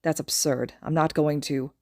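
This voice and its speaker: Woman acting out blunt stubborn man, terse, dry manner, low pitch, close dry storybook recording. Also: fairly even intonation, moderate pace, dark tone, no room sound. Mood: stubborn, curt.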